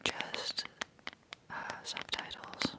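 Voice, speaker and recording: A woman's voice: extremely slow, bright, forceful tone. A Dutch woman with an American-sounding accent in English, whispering breathily in a casual, chatty, playful way as she hosts an ASMR livestream and answers chat. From Netherlands. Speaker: livestream host, ASMR creator.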